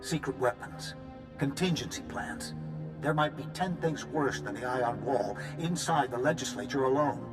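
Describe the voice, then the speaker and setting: A man's voice: mid-range voice, lightly acted. A man playing a resolute general in a video game, giving an authoritative, businesslike military briefing. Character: resolute male general briefing troops for war.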